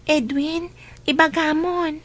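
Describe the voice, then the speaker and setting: A woman's voice: sits high, steady tone, takes it slowly. A Filipino woman playing a hesitant, respectful young daughter in a radio drama. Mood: nervous, hesitant.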